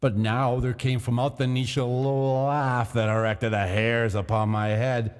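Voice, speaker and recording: A man reading a gothic horror story aloud in a slow, deliberate, theatrical manner, heard in a long echoing hall. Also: medium pitch, level tone, smooth. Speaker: reader of a gothic tale. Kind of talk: dramatic literary narration.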